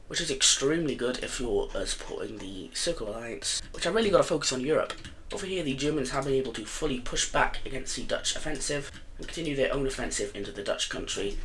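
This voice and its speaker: Croaky man host, brisk rambling map-game commentary, loose and unscripted, with a slight hiss behind him. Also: medium pitch, a bit bright. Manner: rambling, many fillers and self-corrections. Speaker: video host. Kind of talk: casual commentary over a map simulation.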